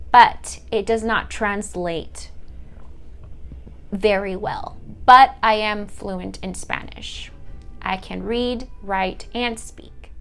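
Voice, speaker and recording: A young woman whose first language is Italian, giving a reflective personal monologue for a class, halting often to search for words. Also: medium pitch, slight background noise. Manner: halting, searching for words with many fillers and restarts. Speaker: student.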